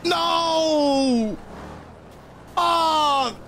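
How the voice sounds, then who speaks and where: Male role-play streamer, high voice, repetitive urgent slang, noisy game sirens and traffic underneath. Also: extremely slow. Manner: slangy, excitable, repeats phrases. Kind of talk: in-character live gameplay chatter. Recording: sirens and vehicle noise behind voice. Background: game sirens and car sounds.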